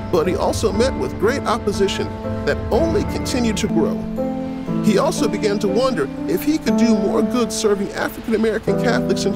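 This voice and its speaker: An actor playing a kindly, earnest adult storyteller, explaining things to children in an audio drama. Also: male voice, high-pitched. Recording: loud music behind the voice.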